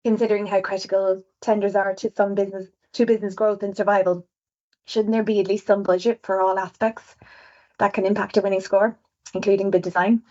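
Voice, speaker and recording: Fast-talking Irish woman on a webinar. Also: mid-range voice, level tone, a little mellow. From Ireland. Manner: fast-talking, chatty, self-aware. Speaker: webinar presenter, visual designer. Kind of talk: presenting survey results on a webinar. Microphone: video call. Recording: dull lo-fi webinar audio.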